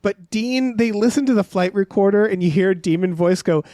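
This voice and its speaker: Man, podcast co-host, high voice, dry close sound, rambling incredulous comedic recap. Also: normal speed, mellow, dry recording. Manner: rambling, incredulous, swears casually. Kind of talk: casual comedic TV recap chat.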